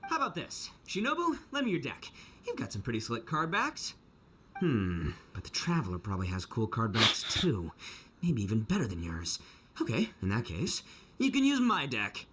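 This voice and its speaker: Goofy loudmouth oni gang boss for a game, bright voice, swings all over, slangy and boastful. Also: male voice, mid-range voice, wildly swooping pitch, moderate pace, a little hiss or hum. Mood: eager. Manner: bombastic, slangy, theatrical. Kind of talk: video game voice acting.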